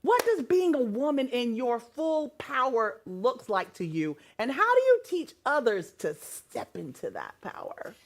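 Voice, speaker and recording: A woman hosting a TV talk show, asking her guest expressive questions and affirming her often. Her pitch swings widely and sits a little above the usual for women. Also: a little slow, hardly any pauses.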